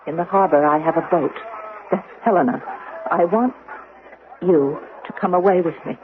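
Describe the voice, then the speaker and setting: A woman's voice: lowish voice, normal speed, close to an everyday voice. An actress playing an impassioned, urgent Roman noblewoman in a breathless, theatrical 1970s radio drama style. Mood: pleading. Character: high-born Roman woman, impassioned, urgent, pleading. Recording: tinny, noisy 1970s radio recording.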